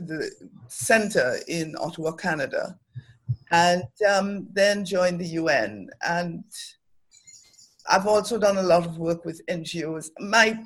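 A woman's voice: lowish voice, a bit bright, rough recording. A middle-aged woman, speaking thoughtfully and earnestly as the guest in an interview. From Trinidad. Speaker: interview guest, academic and development professional. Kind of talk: reflective interview conversation.

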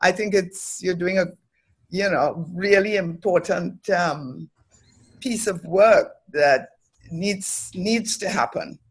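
A woman's voice: on the low side, takes it slowly, slightly rough. A middle-aged woman, speaking thoughtfully and earnestly as the guest in an interview. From Trinidad. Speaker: interview guest, academic and development professional. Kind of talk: reflective interview conversation.

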